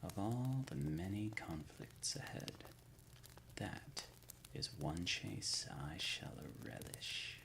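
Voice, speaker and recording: A man playing an ancient, solemn undead leader of a secret order in an ASMR roleplay, speaking in a breathy, gravelly whisper with formal, archaic diction. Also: deep voice, animated, slow pace, exaggerated voice, poor audio. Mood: quietly menacing.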